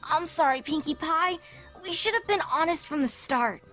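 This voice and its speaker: A young cartoon pony filly, earnest and sheepish. The voice is very high and bright, with expressive pleading, over soft background music in an audio drama. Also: female voice, normal speed. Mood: sheepish, remorseful. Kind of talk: fan fiction audio drama.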